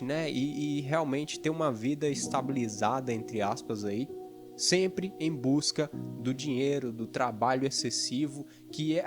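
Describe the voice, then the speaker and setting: A man from northeastern Brazil, a video editor telling his personal story to his channel's viewers in an earnest, conversational way, pausing often with fillers. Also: medium pitch. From Fortaleza, Ceará, Brazil. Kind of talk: personal testimony to camera. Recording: music playing underneath.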